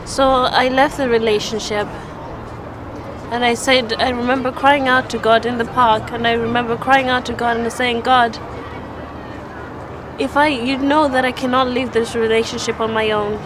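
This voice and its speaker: A young woman from Zimbabwe giving a personal faith testimony in an earnest, even way, with small restarts. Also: a little high, moderate pace. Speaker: church testimony speaker. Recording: outdoor street noise behind the voice.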